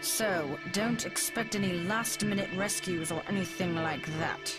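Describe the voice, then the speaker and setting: A woman's voice: medium pitch, a little slow, loud music behind the voice, natural voice. A woman voicing a villainous mutant who has possessed a young woman, casual and taunting, in a motion comic. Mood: smug, casual. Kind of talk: dramatic motion comic voice acting.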